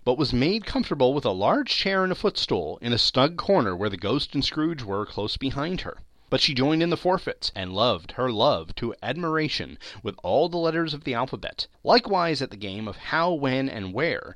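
Man, dramatic audiobook narration, theatrical emphasis, lively intonation, crisp forceful tone. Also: mid-range voice, dry recording. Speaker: podcast host, narrator. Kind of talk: dramatic audiobook reading of a classic story.

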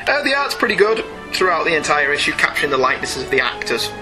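A man's voice: on the high side, very animated, fast talker. A man chatting casually as co-host of a comic-book review podcast, opinionated and wry. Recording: music behind the voice. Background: music.

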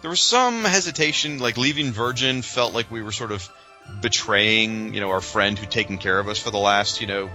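A man co-hosting a podcast, chatting casually and informally like an animated fan. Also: fairly low pitch, normal speed, soft background music, rough recording. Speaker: podcast co-host.

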